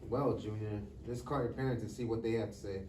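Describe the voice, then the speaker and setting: A stern young border agent, played by a man at a table read, with a mellow middle-pitched voice. He is curt, suspicious and sarcastic, heard from a distance in an echoey room. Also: steady tone, a little fast, natural voice. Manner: curt, authoritative questioning. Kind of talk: live table read of comedy script. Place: live reading in a room. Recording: echoey room, distant mic, faint noise.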